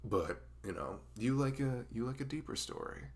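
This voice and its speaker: A man's voice: medium pitch, animated, moderate pace, gravelly. A man playing a dorky, nervous young best friend in a conversational ASMR roleplay, rambling and stammering with self-deprecating humor. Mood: enthusiastic, rambling.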